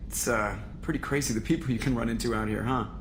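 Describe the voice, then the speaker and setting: An actor playing a wry, grumbling spaceship pilot in a video game, casual and sarcastic. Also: male voice, medium pitch, fast talker, background noise, close to an everyday voice.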